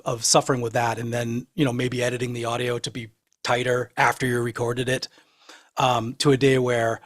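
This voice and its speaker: Husky man in casual tech talk. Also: mid-range voice, a bit bright. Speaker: panelist in music technology discussion.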